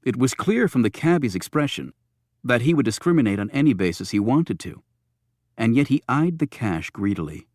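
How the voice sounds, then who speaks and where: A man's voice: mid-range voice, very animated, normal speed, a little mellow, no echo, studio quality. A man narrating a thriller audiobook from a script.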